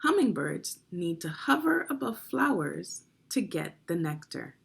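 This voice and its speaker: A woman's voice: fairly low pitch, very animated, unhurried, gravelly, husky. A woman reading children's nonfiction aloud as a teacher, instructive and putting guiding questions to young listeners. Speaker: read-along narrator and reading teacher.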